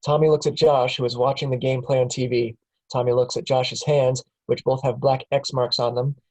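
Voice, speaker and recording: A man reads the stage directions aloud at a script table reading. His voice sits at a mid pitch, and he keeps a plain, steady delivery. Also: fairly quick, low-quality recording. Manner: plain, steady, chuckles at times.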